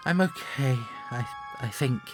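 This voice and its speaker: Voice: medium pitch, lively intonation, measured pace, over music, lightly acted. An actor playing a polite, timid female flight attendant in an audio drama. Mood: shaken.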